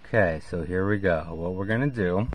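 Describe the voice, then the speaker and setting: A middle-aged man giving a casual, hands-on how-to in a halting way, recorded on a handheld camera outdoors by a vehicle. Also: low voice, poor audio. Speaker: CB radio hobbyist presenter. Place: outdoors in and beside a parked vehicle in an open field. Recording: clear background noise, outdoor ambience.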